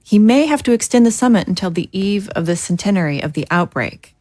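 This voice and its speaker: A woman's voice: mid-range voice, animated, brisk, a little mellow, no echo, close to an everyday voice. Composed, formal woman leader in a drama, acted. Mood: measured, grave. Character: composed, formal female government leader briefing a council. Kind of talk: audio drama acting.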